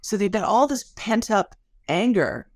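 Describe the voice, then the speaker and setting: A woman's voice: medium pitch, animated, unhurried, no room sound, clean studio sound. A woman being interviewed as an academic researcher, telling stories conversationally with colloquial asides and vivid phrasing.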